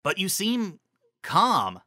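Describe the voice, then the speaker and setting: A man voicing a gentle, curious female unicorn pony who speaks earnestly. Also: high-pitched, wildly swooping pitch, slow, voice pushed up, heavily acted.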